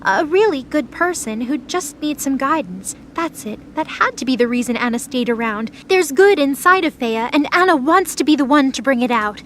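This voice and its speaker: A woman's voice: high voice, animated, moderate pace, few pauses, a little hiss or hum. A woman reading scripted narration for an audio drama.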